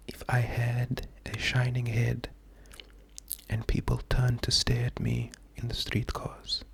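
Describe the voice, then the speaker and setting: A man speaks in a hushed, breathy ASMR style with heavy vocal fry. His delivery is slow and calming, with a flat, even melody that stays gentle and unhurried throughout. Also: mid-range voice. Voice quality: breathy, creaky, half-whispered. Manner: gentle, unhurried, calming, often repeating words. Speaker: ASMR host. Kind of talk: slow soft-spoken ASMR poetry reading.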